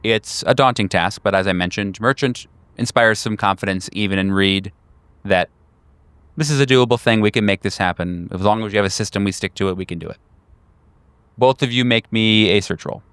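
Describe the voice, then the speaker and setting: A man narrating a horror tabletop game as game master, descriptive and deliberate, pausing between phrases. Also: lowish voice, fairly bright tone, clear, resonant tone, dry recording.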